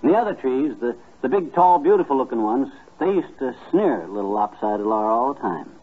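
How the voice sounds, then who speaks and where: A man's voice: mid-range voice, big pitch swings, brisk, husky. An actor playing a kindly, folksy man telling stories to a child in homespun, hesitant speech, in 1950s radio comedy style. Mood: folksy, warm. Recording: muffled vintage 1950s radio recording.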